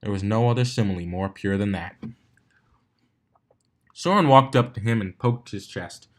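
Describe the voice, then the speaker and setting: A man's voice: on the low side, normal speed. A man narrating a written story as a fan fiction reader, now and then stumbling and rereading a phrase. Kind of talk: scripted story narration with character dialogue.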